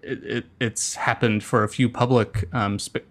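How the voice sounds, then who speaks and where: A man in casual conversation as an interview guest, speaking in a hesitant, measured, halting way. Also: medium pitch, steady tone, a bit bright.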